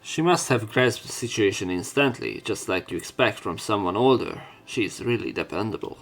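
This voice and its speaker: A man's voice: fairly low pitch, lively intonation, normal speed, gravelly, a bit bright. A man reading visual novel text aloud as a let's play narrator, with casual, irreverent and sometimes sweary commentary. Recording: game music behind the voice.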